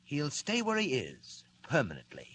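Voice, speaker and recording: An actor playing a smug, menacing gang boss in a 1940s radio serial, taunting with mock courtesy in articulate speech. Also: male voice, on the high side, wildly swooping pitch, normal speed, a bit bright. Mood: coldly gloating. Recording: old radio recording with hiss and hum.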